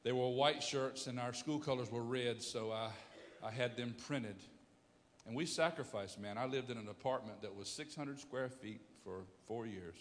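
A pastor in his fifties preaching a sermon, emphatic, swinging from loud bursts to hushed storytelling. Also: medium pitch, moderate pace, long reverb tail.

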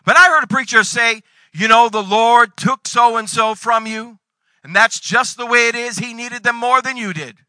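Male pastor, forties, high voice, dry close sound, dynamic sermon delivery. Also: moderate pace, dry recording, poor audio. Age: 40s. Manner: preacher's dynamic delivery with sudden loud bursts and Bible readings.

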